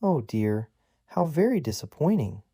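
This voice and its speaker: A man voicing a bright, eager young woman, talking with gushing enthusiasm in an audiobook. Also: mid-range voice, very animated, moderate pace, no echo, studio quality.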